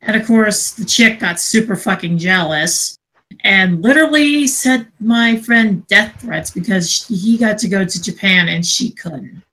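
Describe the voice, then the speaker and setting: A woman chatting casually and telling stories in a sweary, animated way, acting out what people said. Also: mid-range voice, a little slow, low-quality recording. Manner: sweary, animated retelling with acted-out dialogue. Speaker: podcast co-host. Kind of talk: casual storytelling chat.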